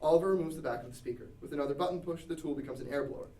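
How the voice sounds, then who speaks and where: Fast-reading man in an echoey room. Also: a little high, warm tone. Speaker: narrator reading stage directions. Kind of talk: scripted narration at a table read. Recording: echoey room, distant mic.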